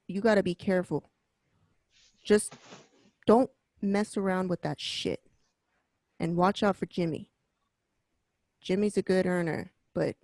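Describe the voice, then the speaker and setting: A woman's voice: medium pitch, darkish tone, no room sound, close to an everyday voice. A woman playing a stern, wary mob boss in an amateur radio drama read, blunt and profane, repeating points for emphasis.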